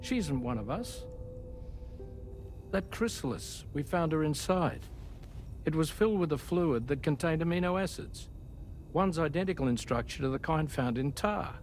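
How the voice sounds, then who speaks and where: An actor playing a calm male scientist who explains things in a measured, matter-of-fact way in a game cutscene. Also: a little high, faint music behind. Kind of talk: video game cutscene acting.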